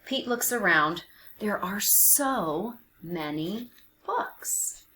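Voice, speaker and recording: Woman, children's read-aloud narrator, slow pace, medium pitch, expressive storybook delivery, deliberate emphasis. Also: a little hiss or hum, low-quality recording.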